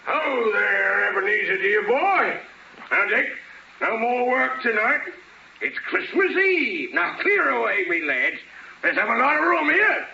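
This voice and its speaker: An actor playing a jovial, excitable old employer, calling out exuberantly in a 1950s radio drama. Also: male voice, very high-pitched, unhurried, creaky, slight background noise, exaggerated voice. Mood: merry, excited. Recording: dull, echoey, distant old radio recording.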